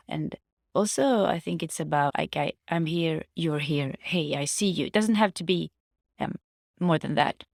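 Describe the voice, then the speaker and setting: A woman giving a casual, reflective personal monologue to viewers, halting as she searches for words and restates her phrases. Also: low-pitched, vocal fry, dry recording, clean studio sound. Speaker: vlogger sharing personal reflections.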